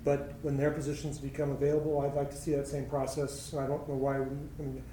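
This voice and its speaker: A man's voice: medium pitch, completely flat delivery, quick pace, warm tone, a little hiss or hum. A man on a public commission, talking in a rambling, self-correcting way at a meeting. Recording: echoey chamber, distant mic.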